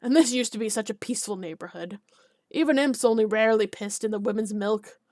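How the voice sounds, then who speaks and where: A woman voicing a small, podgy, hot-tempered village alderman who blusters and shouts. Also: medium pitch, fairly quick, dry recording, clean studio sound, lightly acted. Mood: gloomy.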